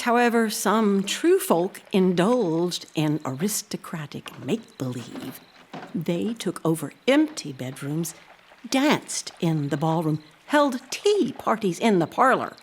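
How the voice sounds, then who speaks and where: An actress playing an earnest, proud housekeeper who tells a haunting tale in a deliberate, expressive way. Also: female voice, medium pitch. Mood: wry, disapproving. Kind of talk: dramatic audio-drama storytelling.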